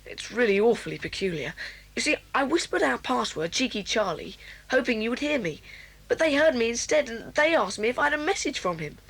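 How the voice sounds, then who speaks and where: An actress voicing a nervous young schoolboy who whispers anxiously and stammers. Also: female voice, a little high, very animated, fairly quick, a bit bright, slightly rough, heavily acted, poor audio. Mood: agitated.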